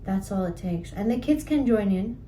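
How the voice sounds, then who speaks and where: A woman's voice: medium pitch, expressive, moderate pace, dark tone, echoey room, slight background noise. A woman leading a healing coaching session, encouraging and often checking in with "right?". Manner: encouraging, repeats "right?" and "guess what". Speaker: healing practitioner.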